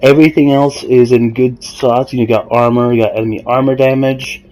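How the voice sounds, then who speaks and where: A man giving a casual, explanatory game walkthrough in a measured, somewhat halting way. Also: mid-range voice, fairly even intonation, darkish tone, low-quality recording. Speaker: gamer presenting a character build guide. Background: music.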